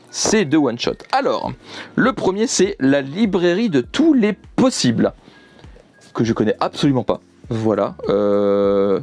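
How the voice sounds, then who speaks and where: Animated young man chatting casually. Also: mid-range voice. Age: young adult. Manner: repeats himself, enthusiastic. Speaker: YouTuber presenting a manga unboxing. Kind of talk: casual unscripted vlog chat. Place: indoor room with manga shelves.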